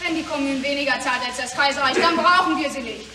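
An actress playing a feisty, plain-spoken seamstress in a German radio play, brisk and insistent as she presses her demands. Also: high voice, pushed and bright. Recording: roomy, distant, faint noise.

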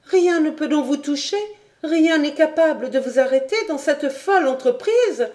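A woman's voice: sits high, moderate pace, a little mellow, pitched above the performer's own voice, close to an everyday voice. A woman voicing an anxious young noblewoman who pleads urgently, in a storybook reading. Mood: pleading. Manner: urgent, imploring.

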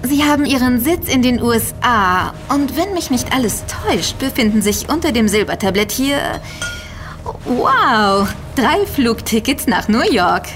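An actress playing a young, impatient, sardonic and quick-witted woman in an audio drama, teasing her friends dryly. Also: on the high side, big pitch swings, music in the background. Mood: wry, knowing. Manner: teasing, dry sarcasm. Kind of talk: German audio drama dialogue.

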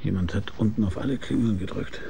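Casting: hard-boiled radio detective, mellow gravelly voice, dry and laconic. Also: male voice, on the low side, fast, slight background noise. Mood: calm, wary. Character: hard-boiled, world-weary male private detective, narrating. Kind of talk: noir radio drama narration and dialogue.

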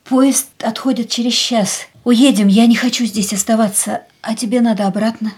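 An actress playing a fragile, restless young woman in a radio drama, speaking softly and often in a hushed near-whisper. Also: a little high, fairly even intonation, normal speed, slightly rough. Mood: weary, quiet.

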